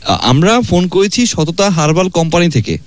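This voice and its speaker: A man's voice: sits high, sing-song, normal speed, dry recording. A man hosting a radio prank call, posing as a salesman with pushy, rambling patter from a studio. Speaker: radio host.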